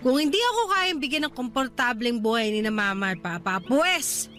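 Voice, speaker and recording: A woman's voice: on the high side, expressive, moderate pace, fairly bright tone, soft background music. An actress playing a brash, ambitious young Filipino woman in a radio drama, sassy and dismissive, with a Filipino accent and English phrases mixed in. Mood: frustrated, insistent.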